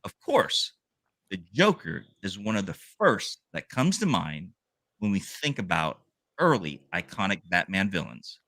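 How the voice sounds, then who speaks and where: A man's voice: deep voice, sing-song, measured pace, bright, forceful tone, no room sound. A man co-hosting a comic book podcast, reading a scripted sales rundown and joking with his co-hosts. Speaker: podcast co-host.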